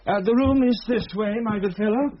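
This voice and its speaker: A man's voice: high-pitched, brisk, crowd noise, close to an everyday voice. A man playing a fussy, garrulous middle-aged father in a British radio drama, with a genteel, hesitant manner of speaking. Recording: muffled audio with faint noise.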